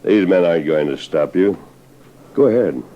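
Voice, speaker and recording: An American man acting a principled masked western hero in an old radio drama, measured and formal. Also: sits low, animated, highs cut off. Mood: stern challenge. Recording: noisy old radio recording with clicks.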